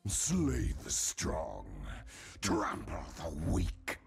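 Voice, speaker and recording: An actor voicing a brutal, bloodthirsty undead giant warrior for a video game, with a guttural rasp and menacing war cries and threats. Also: male voice, deep voice, wildly swooping pitch, very slow, exaggerated voice. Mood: grim menace. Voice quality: gravelly, rasping, guttural. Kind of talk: video game character voice lines.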